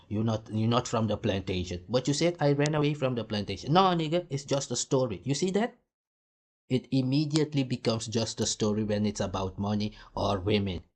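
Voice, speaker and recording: A man speaks in a fairly low voice, delivering a heated reaction rant. His talk is blunt and profane, and he hammers his points home. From Suriname. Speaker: commentary host. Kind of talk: ranting reaction commentary.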